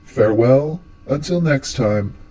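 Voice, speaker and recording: A man's voice: medium pitch, expressive, slow pace, dark tone. A man giving scripted commentary as the theatrical host and storyteller of a horror explainer. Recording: music under the voice. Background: music.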